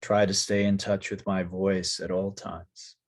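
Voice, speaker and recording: A man leading a guided yoga nidra meditation as a teacher, speaking softly and calmly in short phrases with long pauses. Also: low voice.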